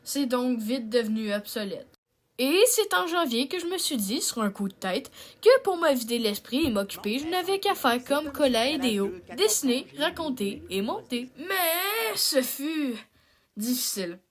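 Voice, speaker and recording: A woman's voice: high voice, sing-song, moderate pace. A young woman with a Québécois accent, an amateur animator talking casually about her cartoon with many fillers and self-deprecating asides. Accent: Québécois French. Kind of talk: casual self-narration and outro.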